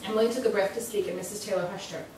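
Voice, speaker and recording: A woman's voice: mid-range voice, steady tone, racing, a little mellow. A woman reading a literary short story aloud at a live salon event. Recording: very echoey room, distant mic, faint noise.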